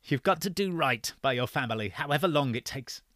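A man reading the part of a frail, stubborn old man near death, speaking gruffly and insistently. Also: mid-range voice, expressive, brisk, bright, forceful tone, no echo, studio quality, pitched above the performer's own voice. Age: older.

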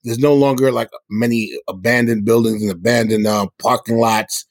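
A middle-aged man speaks at an average pitch. He explains things earnestly in a conversational interview style. The recording is close and dry, with very little room sound. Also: steady tone, moderate pace, no echo. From South Bronx, New York City. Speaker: hip hop musician and guest.

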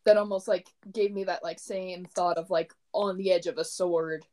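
A young woman discussing poems casually, her voice mellow and warm. She speaks softly, with lots of "like," and keeps the talk going with quiet agreement. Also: fairly low pitch, steady tone, moderate pace. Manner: soft-spoken, frequent murmured agreement. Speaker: co-host discussing poetry. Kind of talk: casual chat.

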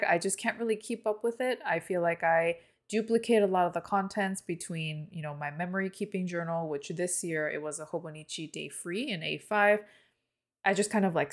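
A woman podcast co-host whose first language is Korean, chatting casually and easily with many fillers. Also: lowish voice. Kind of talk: casual chat.

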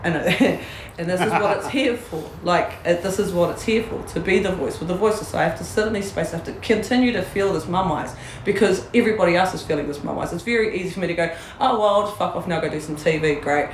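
A woman's voice: fairly low pitch, fast, few pauses, reverberant, a little hiss or hum, rough recording. A woman with a New Zealand accent, a guest in a candid interview, talking bluntly, swearing freely and laughing often.

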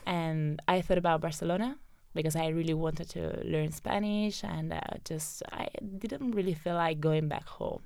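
A young Italian woman speaks English with her native accent, chatting as an interview guest with plenty of fillers and easy laughter. Her voice sits a little low, with a gravelly fry that still comes through crisp. The sound is dry and close. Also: steady tone, moderate pace, hardly any pauses, a little mellow, no echo. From southern Italy. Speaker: guest, data scientist. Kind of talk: casual interview storytelling.

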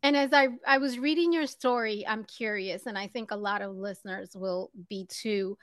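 A woman's voice: mid-range voice, steady tone, normal speed, dry recording, clean studio sound. A woman hosting an interview show who opens with a scripted introduction, then chats with her guest in an upbeat, friendly way. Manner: warm, upbeat greeting of "loves". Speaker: podcast host.

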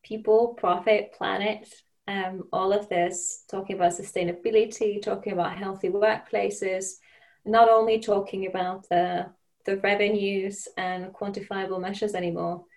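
A woman's voice: mid-range voice, little pitch movement, moderate pace, darkish tone, poor audio. A woman, a podcast guest speaking thoughtfully, with frequent fillers and restarts. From Finland. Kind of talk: conversational interview.

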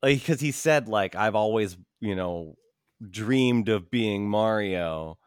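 A man's voice: fairly low pitch, lively intonation, takes it slowly, smooth, dry recording, clean studio sound. A man in casual podcast chat as a host, loose and profane, often acting out imaginary quotes. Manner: peppers talk with acted-out imaginary quotes.

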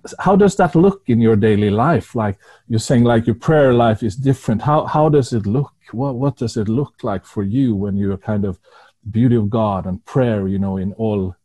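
A man's voice: fairly low pitch, dark tone. Norwegian-accented man hosting a podcast. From Norway. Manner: effusive, quick to exclaim praise. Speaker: podcast host, prayer house leader. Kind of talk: podcast interview.

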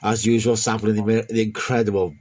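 A man's voice: fairly low pitch, level tone, fairly quick, a little mellow. A man from Liverpool with a South Welsh accent, hosting a casual chat with enthusiasm and questions.

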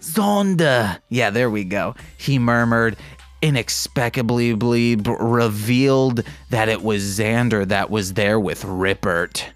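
A man's voice: fairly low pitch, steady tone, slow pace, dry recording. A man hosting a comedic podcast, reading fan fiction in a mock-sultry way and breaking off into self-mocking asides. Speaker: podcast host.

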